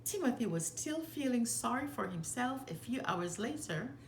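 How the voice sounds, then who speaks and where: A woman narrates a children's storybook with lively, swooping pitch and a fairly high voice. She takes her time over each phrase in a deliberate, animated read-aloud style. The room adds noticeable echo. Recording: roomy echo, faint background noise.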